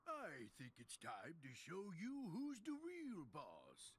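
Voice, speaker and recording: A man's voice: high-pitched, huge pitch swings, slow, a little hiss or hum, exaggerated voice. A man voicing a whiny, blustering oaf of a henchman in a cartoonish video game. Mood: smug bluster. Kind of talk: video game cutscene voice acting.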